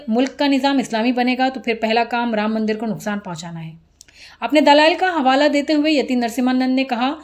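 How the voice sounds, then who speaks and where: A woman's voice: fairly high pitch, darkish tone. A woman presenting scripted news in a formal manner, occasionally stumbling over a word as she reads.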